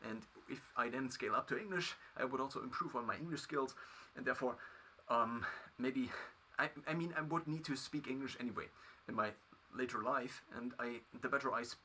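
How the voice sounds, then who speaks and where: A man with a German accent, a native German speaker, talking through his past as a video creator in a halting solo monologue full of restarts. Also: mid-range voice, sharp tone, a little hiss or hum. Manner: halting, many restarts and fillers.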